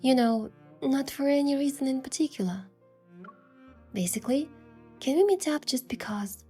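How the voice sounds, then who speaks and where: A woman playing a sincere young heroine, narrating her romance story in a soft, measured and expressive way. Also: sits high. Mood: earnest, tentative. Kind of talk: scripted first-person story narration and dialogue. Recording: music behind the voice.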